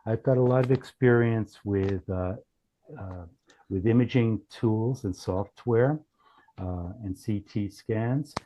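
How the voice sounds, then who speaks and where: A man giving a casual software demonstration in a halting way, thinking aloud as he goes. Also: on the low side, highs cut off, slight background noise. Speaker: company founder demonstrating software. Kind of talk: casual product demo.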